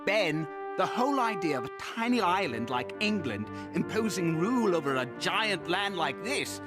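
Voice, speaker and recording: An actor voicing a ragged, fiery middle-aged revolutionary writer in a historical cartoon, speaking like an impassioned orator. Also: male voice, high voice, big pitch swings, normal speed. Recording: loud music underneath.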